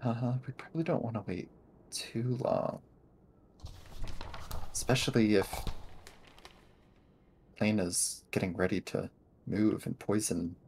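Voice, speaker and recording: A man's voice: lowish voice, takes it slowly, pauses often, a little mellow, slight background noise. A man playing a cautious, practical schoolboy investigator in an improvised tabletop game, hesitant and understated. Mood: cautious concern.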